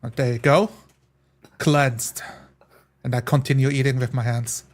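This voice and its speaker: A man's voice: mid-range voice, fairly even intonation, measured pace. A man playing a tabletop game, improvising and describing what his character does.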